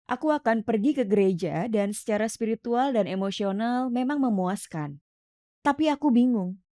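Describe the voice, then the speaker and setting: Earnest woman, mellow dry voice. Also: a little high, animated, moderate pace, no room sound. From East London, England. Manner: earnest, reflective storytelling. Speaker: interview guest. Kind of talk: personal testimony in an interview.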